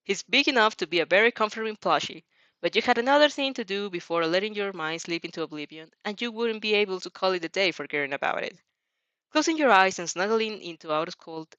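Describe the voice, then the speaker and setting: A woman's voice: mid-range voice, a bit bright, dry recording, highs cut off. A woman chatting casually and reading fan fiction aloud, giggly, rambling and informal in her delivery. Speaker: audio fan fiction reader and reactor. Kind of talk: casual reaction chat and expressive story read-aloud.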